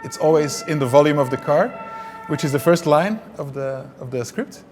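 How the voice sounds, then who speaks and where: A man's voice: a little high, moderate pace, a little mellow, faint music behind. A man from Belgium whose first language is Dutch, answering interview questions in a hesitant way with many restarts. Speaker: film director.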